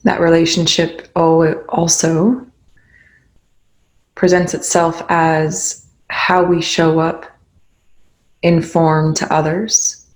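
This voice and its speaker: A meditation guide leading a guided meditation in gentle, instructional phrases with pauses between them. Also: medium pitch, flat delivery, rough recording.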